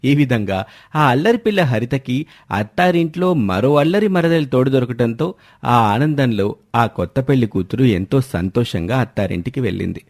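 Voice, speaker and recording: A man's voice: medium pitch, lively intonation, moderate pace, a little mellow. A man narrating a scripted moral story as a storyteller.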